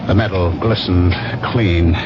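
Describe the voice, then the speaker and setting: An actor playing a brooding, haunted killer who narrates his crime haltingly in a 1970s radio drama. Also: low-pitched, fairly even intonation, heavy background noise. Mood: grim, haunted. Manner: halting, dramatic, deliberate phrasing. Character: brooding, haunted man confessing to killings. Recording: dull 1970s radio broadcast, music behind.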